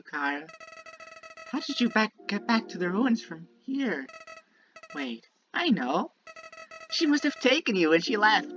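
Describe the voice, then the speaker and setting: A woman's voice: mid-range voice, a bit bright, low-quality recording. A woman voicing a sinister, childlike talking flower from a video game, bitter and manipulative, delivering a theatrical monologue that moves between sweet musing and menace. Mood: curious, searching. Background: prominent music.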